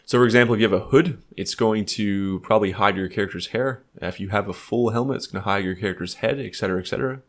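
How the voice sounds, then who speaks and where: Low, gravelly man with a stuffy nose. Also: quick pace, hardly any pauses. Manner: relaxed, thinking aloud with frequent fillers. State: stuffed up, a bit nasal. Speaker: game development tutor. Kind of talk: screen-recorded coding tutorial.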